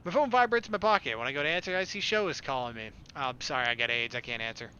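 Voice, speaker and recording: A man's voice: mid-range voice, animated, very fast, sharp tone, dull audio, slight background noise. A man reading visual novel text aloud as a let's play host, adding slangy, irreverent casual commentary.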